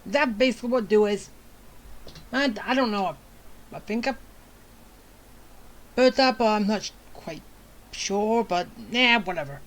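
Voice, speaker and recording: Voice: medium pitch, a little slow, long pauses, fairly bright tone. A game streamer giving casual reaction commentary, with joking, muttered asides. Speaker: streamer playing a video game.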